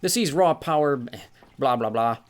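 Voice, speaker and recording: A man's voice: mid-range voice, lively intonation, normal speed, pitched above the performer's own voice, lightly acted. A man voicing a vain, cheerful ancient male sea god in an audiobook, breezy and self-satisfied. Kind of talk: audiobook character voice.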